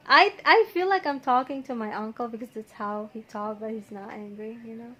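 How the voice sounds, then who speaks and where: A woman from the Philippines with a Filipino accent, telling a story in a casual, chatty, animated and giggly way. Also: fairly high pitch, normal speed. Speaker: vlogger recounting her visa experience. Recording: faint background noise.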